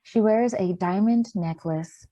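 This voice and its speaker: A woman narrates a play from a script. Her voice is soft-toned and mellow at a medium pitch. The sound is very dry, with no room to it. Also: slow, no echo, dull audio. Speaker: stage-directions narrator.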